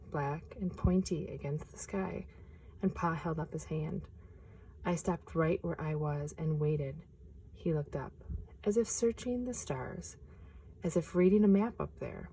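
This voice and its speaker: A woman reading a picture book aloud outdoors in a forest, hushed and expressive, sometimes dropping to a whisper. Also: on the low side, husky, poor audio. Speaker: nature preserve storyteller.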